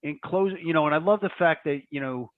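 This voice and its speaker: A man co-presenting in a conversational discussion, with halting, reflective phrasing. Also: a little high, dry recording. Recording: dry, thin phone-like line.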